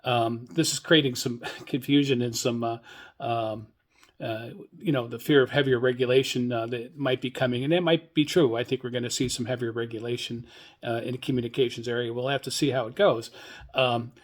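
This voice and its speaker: An older man with a mid-range voice talks as the solo host of a hobby radio podcast. He gives informal commentary on news, moving haltingly and rambling from point to point. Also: mid-range voice, steady tone. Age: older, 60s or above. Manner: hesitant, halting, rambling delivery.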